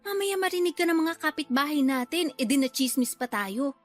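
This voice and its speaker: High, defensive Filipina older sister. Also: female voice, expressive, brisk, faint music behind. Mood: irritated, defensive. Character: defensive, gossip-fearing spendthrift older sister. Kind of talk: Filipino radio drama acting.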